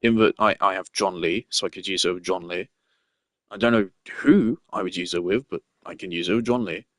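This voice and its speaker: A man's voice: low-pitched, brisk, a bit bright, dry recording, studio quality. A man giving casual gameplay commentary as a streamer, rambling and thinking aloud. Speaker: game streamer.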